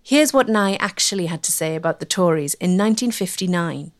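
A woman giving measured, scripted documentary narration. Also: lowish voice, expressive. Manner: clear, measured storytelling.

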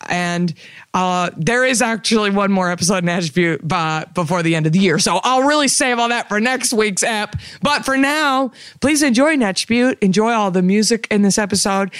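A woman with a naturally low, smooth and clear voice hosts a beauty podcast in a relaxed chat. She is sassy and playful, tossing out "honey" and "baby" to her listeners. The sound is dry and close, with no room around it. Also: normal speed, no echo. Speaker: podcast host. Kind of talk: casual chat.

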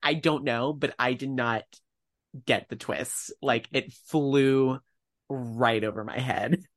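A man's voice: medium pitch, fairly even intonation, slow. Informal, self-deprecating man chatting. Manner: informal, self-deprecating, acts out own thoughts as quotes. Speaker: podcast co-host. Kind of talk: casual chat.

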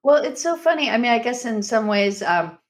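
A woman's voice: a little high, expressive, brisk. A woman documentary director as a podcast guest, effusive and self-deprecating in casual conversation. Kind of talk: casual interview.

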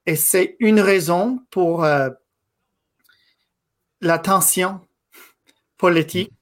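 A man in his thirties, a native English speaker from Quebec, giving a guest interview in a thoughtful, halting way. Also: sits high. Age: 30s. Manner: thoughtful, halting, with frequent pauses and restarts. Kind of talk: casual interview conversation.

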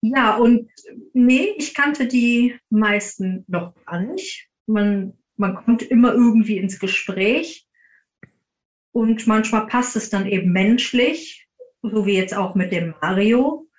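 A woman's voice: on the high side, animated, slow. A woman answering interview questions conversationally as a podcast guest, in a roomy and slightly muffled recording. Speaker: podcast guest, team founder. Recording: roomy, slightly dull sound.

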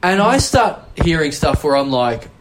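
Man, Australian accent, casual podcast storytelling, rambling, self-mocking, fairly high voice. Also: very animated, moderate pace, noisy background. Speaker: podcast host.

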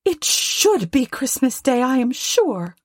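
A woman voicing an indignant, sharp-tongued wife and mother in a storybook, with emphatic, scornful scolding. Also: high-pitched, big pitch swings, takes it slowly, no echo, studio quality, pitched above the performer's own voice, full character voice.

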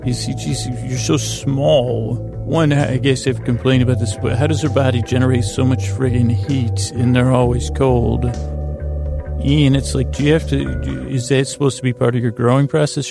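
A middle-aged man hosting a sleep podcast, rambling through a bedtime monologue in a halting, self-deprecating, dryly whimsical way with frequent pauses and unfinished tangents. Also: mid-range voice, level tone, vocal fry, music in the background.

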